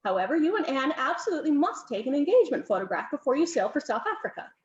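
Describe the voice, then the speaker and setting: A woman voicing a bossy, imperious middle-aged countess who talks in a brisk, insistent, high-pitched way. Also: sing-song. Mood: bossy, fussing. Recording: roomy, slightly muffled home recording.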